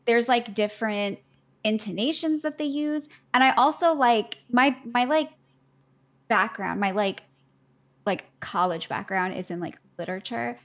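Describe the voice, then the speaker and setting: A young adult woman in casual chat as a guest, rambling and filling her talk with "like". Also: a little high. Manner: chatty and rambling, heavy use of "like", quick to laugh.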